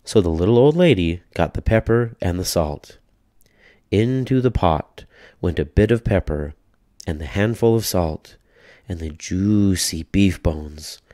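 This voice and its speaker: A man narrating a children's read-aloud story in a deliberate, rhythmic, expressive way. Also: low-pitched, no echo, clean studio sound.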